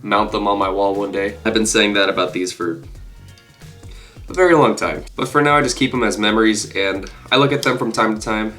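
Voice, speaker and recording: A man in his twenties talks casually to camera in a somewhat low voice, stuffed up from a cold. He sounds like a video creator showing off a collection, with guitar music playing behind him. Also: brisk, bright, forceful tone. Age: 20s. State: congested with a cold. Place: small furnished room indoors.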